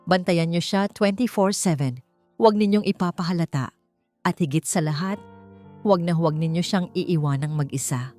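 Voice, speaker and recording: A woman's voice: lowish voice, moderate pace, a little mellow, lightly acted. Woman voicing a commanding Filipino male billionaire. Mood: grave, protective. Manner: authoritative, clipped orders. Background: background music.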